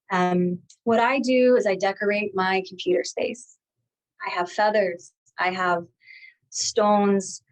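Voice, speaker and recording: A woman speaking as a guest guide in a meditative spiritual talk, gently and deliberately, phrase by phrase with pauses. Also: fairly high pitch, poor audio.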